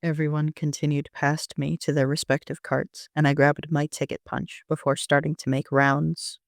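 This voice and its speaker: A man's voice: a little high, little pitch movement, moderate pace, gravelly, dry recording, clean studio sound. A man reading scripted first-person story narration as an amateur author.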